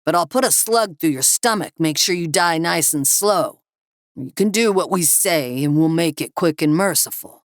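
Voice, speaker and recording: A woman's voice: low-pitched, lively intonation, normal speed, no room sound, clean studio sound. A woman voicing a menacing, foul-mouthed male thug with a gun who barks threatening orders in an audiobook. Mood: cruel, threatening. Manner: barking, threatening commands.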